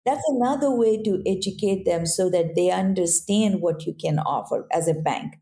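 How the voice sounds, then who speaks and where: A woman's voice: fairly low pitch, normal speed, warm tone. A woman speaking English with an accent, a guest in a casual interview who keeps checking in with "right?". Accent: non-native English accent. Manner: earnest, repeats "right?" to check in.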